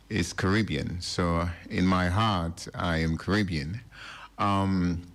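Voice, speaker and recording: A middle-aged man from Barbados, a radio studio guest, speaking in a measured, formal and polite way. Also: low-pitched, creaky. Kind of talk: radio interview.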